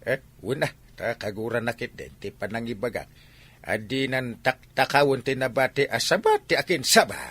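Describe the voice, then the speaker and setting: A man with an Ilocano accent playing an excitable, indignant villager in a barangay comedy drama. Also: mid-range voice, moderate pace, husky, no room sound.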